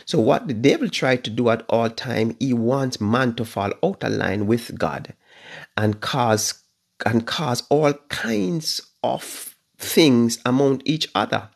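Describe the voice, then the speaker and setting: A man's voice: medium pitch, takes it slowly, vocal fry. A man in his fifties with a Jamaican accent, preaching a livestream Bible study from his living room. He talks fervently, repeats phrases and draws out stressed words. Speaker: pastor. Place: living room at home, indoors. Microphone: phone camera at arm's length.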